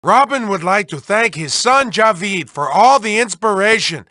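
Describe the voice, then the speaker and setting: A man's voice: high-pitched, expressive, normal speed, pushed and bright, dry recording, studio quality. A man voicing a manic, lovelorn, whiny mad-scientist villain in a video game, with theatrical, exaggerated delivery that swings between cooing and wailing. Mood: mock formal. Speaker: video game villain reading developer messages. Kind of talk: exaggerated comic cartoon voice acting.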